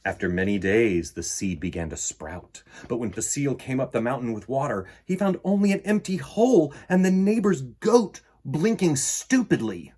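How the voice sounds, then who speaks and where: A man in his forties with a slightly husky voice, reading and presenting a children's picture book in an expressive, dramatic way. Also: mid-range voice. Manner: animated, dramatic, with deliberate pauses and emphasis. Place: small indoor room in front of bookshelves.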